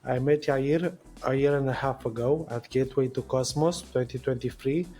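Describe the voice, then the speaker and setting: A man's voice: medium pitch, normal speed, mellow, faint music behind. A man hosting an interview show, reading his introduction and questions in a formal way. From Romania. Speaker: podcast host.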